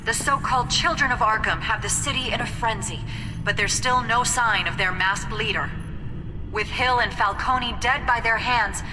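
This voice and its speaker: A woman's voice: on the high side, normal speed, big-room sound, distant mic, music in the background, natural voice, rough recording. A woman playing a serious television news reporter in a video game, formal and dramatic. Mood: serious, urgent.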